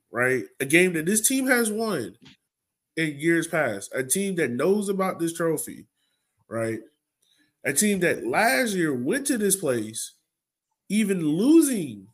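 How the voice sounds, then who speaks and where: Exasperated man hosting a sports podcast. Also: fairly high pitch. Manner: exasperated, colloquial, rhetorical questions. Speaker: podcast host. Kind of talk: casual sports podcast discussion.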